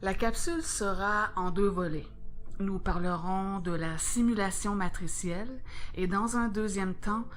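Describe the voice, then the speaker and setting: A woman with a Québécois accent presenting a scripted spiritual video in a calm, measured, instructive way. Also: medium pitch, soft background music. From Quebec.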